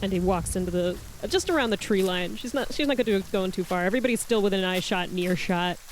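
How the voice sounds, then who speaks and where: A woman's voice: medium pitch, fast talker, a little hiss or hum. A woman playing a tabletop game, wry and matter-of-fact in her remarks. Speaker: player.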